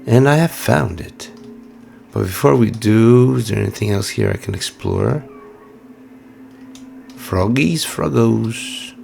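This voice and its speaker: Deep, gravelly hoarse man narrating gameplay. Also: takes it slowly, long pauses, darkish tone, faint music behind. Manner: musing, chatty, many fillers. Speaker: game streamer. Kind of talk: casual let's-play commentary.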